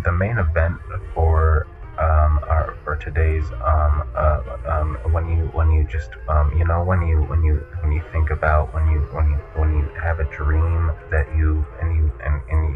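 A man hosting a comedic podcast in a casual, deadpan and hesitant way, on a muffled recording. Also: rumbling low. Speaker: podcast host. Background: music.

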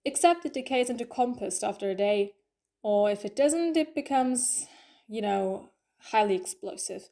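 A woman's voice: fairly high pitch, expressive, normal speed, mellow. A woman playing an upbeat, eccentric alien time traveller in a fan audio drama, chirpy and matter-of-fact as she rambles. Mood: upbeat, breezy.

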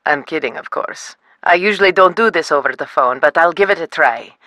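A bright, brisk wood scientist. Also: female voice, deep voice, no room sound. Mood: helpful. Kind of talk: video game voice acting.

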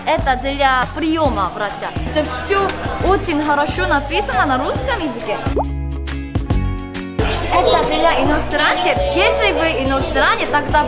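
A woman's voice: high voice, sing-song, unhurried. A young Korean woman speaking Russian with a Korean accent, chatting playfully through a hospital vlog with a close handheld camera. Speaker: vlogger. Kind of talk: casual vlog narration. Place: hospital hallways and exam rooms, indoors. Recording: background music and crowd chatter.

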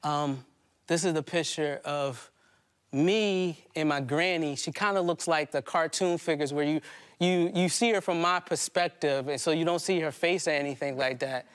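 Higher-pitched man telling stories on stage. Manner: conversational, halting, prone to chuckling. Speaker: poet and storyteller on stage. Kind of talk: live autobiographical monologue. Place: indoor community hall stage before a small audience.

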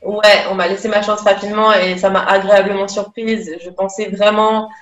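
A young woman talking over a video call, unhurried and hesitant, stopping often to restart. Her laptop mic catches a roomy echo and muffled, lo-fi sound. Faint music plays underneath. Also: medium pitch, level tone. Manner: hesitant, many fillers and restarts. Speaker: interview guest. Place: small room indoors. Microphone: laptop webcam mic on video call.

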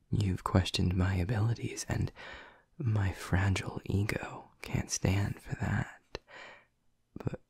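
Male actor as a doting vampire boyfriend: low, breathy, slow whispers, teasing and intimate. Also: darkish tone, dry recording, clean studio sound, full character voice. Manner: teasing and affectionate. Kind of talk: ASMR audio roleplay.

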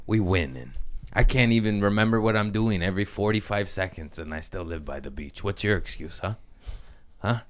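A man's voice: deep voice, lively intonation, moderate pace. A man in his thirties hosting a casual comedy podcast, swearing freely and wandering into goofy asides, speaking into a studio mic. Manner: profane, rambling, goofy asides. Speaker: podcast host. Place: studio set with hedge wall. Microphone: studio mic on boom arm, headphones.

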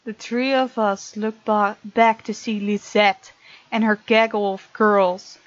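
A woman hosting a let's play, reading game text aloud with playful, expressive asides. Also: mid-range voice, takes it slowly, dry recording, highs cut off. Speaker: gaming video host.

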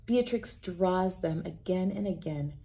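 A woman in her thirties reading a children's picture book aloud in a deliberate, expressive storytelling manner. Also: on the low side. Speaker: read-aloud host. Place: indoors, wood-panelled room with large windows.

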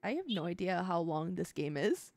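A woman's voice: lowish voice, big pitch swings, moderate pace, gravelly, dry recording. A woman streaming casually, reading a visual novel aloud and reacting with blunt, sweary exclamations. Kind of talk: casual co-op stream chat and read-aloud narration. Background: soft game music.

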